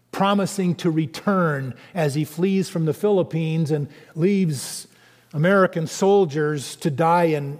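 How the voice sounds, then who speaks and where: Male pastor, high voice, animated sermon delivery, echoing church acoustics. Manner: animated preaching with conversational asides.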